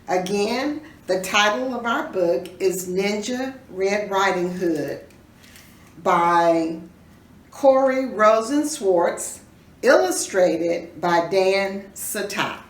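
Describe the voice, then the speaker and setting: A woman reading a children's story aloud in an expressive, teacherly way, pausing to put questions to her listeners. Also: mid-range voice. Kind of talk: dramatic read-aloud with questions to young listeners. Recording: roomy echo, distant mic, faint noise.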